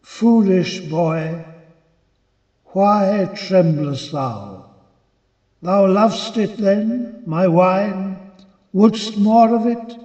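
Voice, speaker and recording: A man reciting dramatic poetry as a commanding, seductive enchantress goddess who coaxes gently in stately, measured phrases. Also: high-pitched, echoey room, in a large hall. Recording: dull lo-fi, dry close recording.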